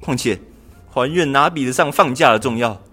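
A man's voice: medium pitch, moderate pace, bright, forceful tone. A young man playing an anxious, cheeky, lazy government clerk from ancient China in an amateur radio drama, exclaiming and pleading. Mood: carefree, puzzled. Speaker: student voice actor in a radio drama. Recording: music under the voice.

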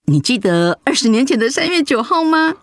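A woman's voice: high voice, very animated, a little fast, no echo, clean studio sound. A woman playing an affectionate, emotional wife and grandmother with a Taiwanese Mandarin accent in a radio drama. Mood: surprised, reflective. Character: retired grandmother, affectionate, emotional breast cancer survivor.

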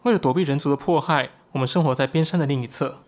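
An actor playing an earnest, excitable young shapeshifting tribesman in a Chinese audio drama, explaining things expressively. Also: a little high, fast, close to an everyday voice.

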